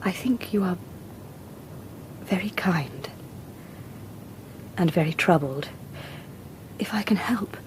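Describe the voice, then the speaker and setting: An actress playing a genteel, sensitive young Victorian lady in a radio drama, polite and earnest, with a British accent and soft emotion. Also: fairly low pitch, animated, slow pace, pauses often, slight background noise, poor audio. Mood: tearful, tender.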